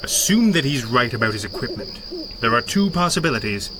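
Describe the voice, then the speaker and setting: An actor playing a coolly clever, authoritative masked crimefighter in a 1930s-style radio drama, speaking in a clipped, formal way. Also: male voice, mid-range voice, sing-song, fairly quick, background noise, natural voice. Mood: analytical.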